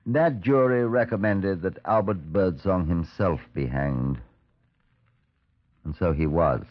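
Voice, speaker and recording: An actor playing a stern, measured police superintendent who narrates a case in a 1950s radio drama. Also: male voice, deep voice. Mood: grave. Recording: dull vintage 1950s radio recording.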